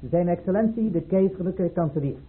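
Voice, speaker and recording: An actor playing a deferential manservant in an old-fashioned radio drama, speaking politely and formally. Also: male voice, high-pitched, fairly quick, slight background noise, natural voice. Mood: formal, announcing. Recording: muffled, phone-like old radio recording.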